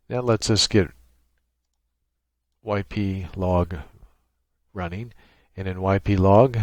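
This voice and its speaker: A man presenting an unscripted screen-share software tutorial in a halting, thinking-aloud way. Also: sits low, dry recording, studio quality.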